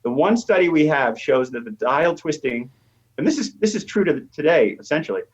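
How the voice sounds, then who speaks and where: Animated higher-voiced man, dull audio. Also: a little fast, slightly rough. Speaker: academic historian guest. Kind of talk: conversational explanation in an interview.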